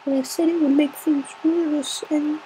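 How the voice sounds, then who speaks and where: A shy young female pony, voiced by a man for an amateur story reading, high-pitched and soft. She mumbles timidly and hesitates between phrases, with noise behind the voice. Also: steady tone, a little slow, rough recording. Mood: timid, apologetic. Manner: hesitant, whimpering, trailing off.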